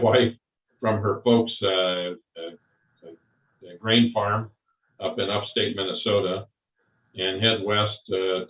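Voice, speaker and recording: An older man recalling his service and career in an interview, talking slowly with many pauses and fillers. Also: deep voice. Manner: slow, halting, many fillers. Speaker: interviewee, Vietnam veteran. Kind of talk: oral history interview. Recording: far off, roomy, phone-like.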